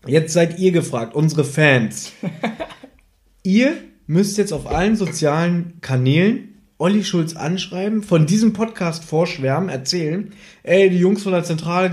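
Man, slightly high voice, podcast co-host, casual chat, playful storytelling. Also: normal speed, darkish tone. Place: living room of a flat. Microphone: one microphone shared by two people.

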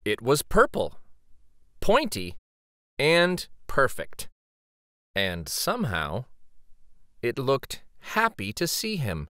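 A man reading a children's story aloud dramatically, with theatrical, suspenseful pauses. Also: medium pitch, wildly swooping pitch, bright, forceful tone, no room sound, studio quality.

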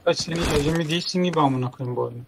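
A man with a slightly high voice chats casually and roughly with his squad while gaming. He talks very quickly. Clear background noise with gunshots and clicks surrounds him. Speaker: mobile shooter game player. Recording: noisy game voice chat with gunfire. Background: game gunfire and clicks.

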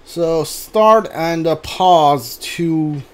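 A man giving casual live commentary on a game, thinking aloud in a hesitant, halting way. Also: fairly high pitch. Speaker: game streamer.

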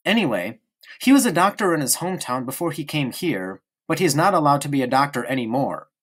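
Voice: mid-range voice, sing-song, brisk, husky, pitched above the performer's own voice. A narrator voicing a stubborn, chatty young boy in an audiobook, speaking eagerly and insistently. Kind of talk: audiobook character reading.

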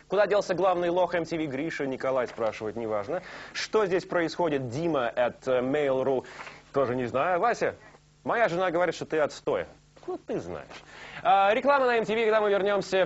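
A young man hosting a late-night TV show from a studio sofa, talking live with a joking, teasing manner. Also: fairly high pitch. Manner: joking, teasing, lively and animated. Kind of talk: playful live studio banter. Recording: dull lo-fi broadcast tape.

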